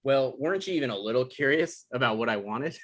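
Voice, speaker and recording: A man's voice: mid-range voice, lively intonation, fast talker, lightly acted. A man acting an anxious, easily flustered novelist in a conversational comedy script reading. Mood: awkward, defensive. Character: anxious, defensive male novelist in his thirties.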